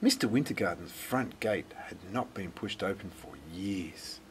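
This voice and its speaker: A man reading a children's story aloud in a dramatic, deliberate way. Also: deep voice, fairly bright tone, a little hiss or hum. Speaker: storybook reader. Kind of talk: expressive scripted children's story narration.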